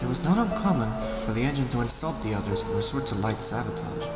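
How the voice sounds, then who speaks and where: A male narrator tells a dark children's tale in a mid-pitched voice, pausing deliberately for drama. His voice rings with long reverb, and music plays loudly behind him. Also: expressive. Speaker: storyteller, narrator. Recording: echoey, reverberant hall sound. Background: loud music.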